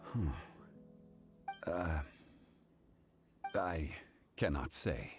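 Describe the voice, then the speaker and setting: An actor playing an ancient god in human form from a fantasy game, dignified and weary, speaking formally and with stoic restraint. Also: male voice, low-pitched, animated, extremely slow, loud music behind the voice, heavily acted. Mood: grave, courteous. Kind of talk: fantasy video game voice acting.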